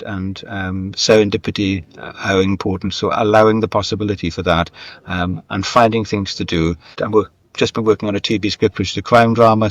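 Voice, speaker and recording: A man's voice: fairly low pitch, dry recording, muffled recording. A middle-aged or older man speaking as an interview guest in a candid, self-deprecating, conversational way, wandering through anecdotes. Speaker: guest, screenwriter and novelist.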